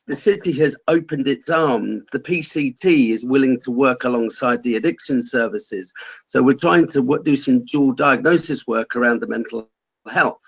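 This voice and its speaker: A man's voice: medium pitch, fairly bright tone, slightly rough. A man explaining his work on a conference call in a plain-spoken, rambling, colloquial way. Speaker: caseworker with vulnerable clients. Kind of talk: explanatory casual talk on a call. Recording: dull, lo-fi conference call audio.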